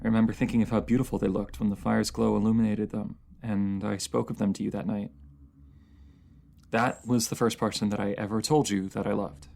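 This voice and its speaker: A man playing a proud, bitter captive ruler and former mercenary in a fantasy audio drama, speaking formally and sometimes haltingly. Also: lowish voice, little pitch movement, gravelly, darkish tone. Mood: reluctant, wistful. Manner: halting, stammering when flustered, formal fantasy diction.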